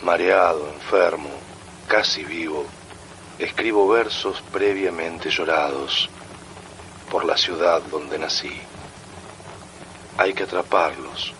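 A man recites poetry in a low, forceful voice. He takes it slowly. Faint rain-like noise sits behind him. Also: poor audio.

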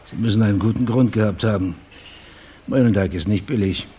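An actor playing a wry, persistent private investigator in a radio crime drama, with a dry, understated manner. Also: male voice, on the low side, fairly quick, warm tone, slightly rough. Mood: dry, probing.

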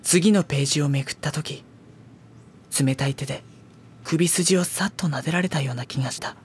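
An actor playing a reserved high school boy in an audio drama, narrating and talking in a polite, dry, exasperated manner. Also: moderate pace, lightly acted. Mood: pensive, uneasy.

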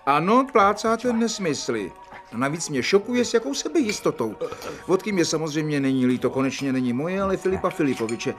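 A man's voice: a little high, sing-song, soft background music. An actor in a radio drama playing a prim, firm male doctor who lectures insistently and precisely. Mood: indignant lecturing.

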